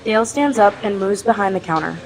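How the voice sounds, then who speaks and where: A woman's voice: mid-range voice, fast, poor audio. A woman reading scripted narration as the narrator of a radio play.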